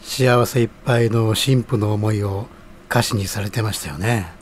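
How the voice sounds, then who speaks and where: An actor playing a polite, calm, reflective adviser with courteous phrasing in an audio drama. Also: male voice, lowish voice, slight background noise, lightly acted. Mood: calm, reminiscent. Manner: courteous, measured honorific phrasing.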